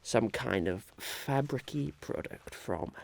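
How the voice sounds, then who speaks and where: A man playing a scheming, eccentric businessman in an ASMR roleplay, musing haltingly and repeating his phrases. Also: medium pitch, animated, vocal fry. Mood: pondering.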